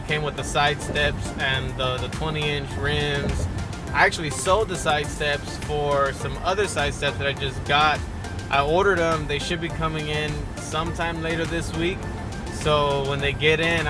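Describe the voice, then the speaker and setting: A man's voice: a little high, fairly even intonation, normal speed, over music. A man giving a casual vlog-style truck review, rambling and stumbling over his words with some stuttering. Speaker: truck vlogger.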